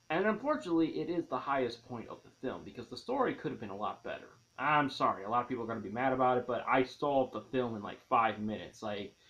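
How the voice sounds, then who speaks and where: A man presents a film review over slightly dull, lo-fi audio. His voice sits at a medium pitch, and he delivers opinions with enthusiasm, stretching and punching key words for dramatic effect. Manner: emphatic, dramatic stresses and drawn-out key words. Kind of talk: enthusiastic spoken movie review. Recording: dull, lo-fi sound.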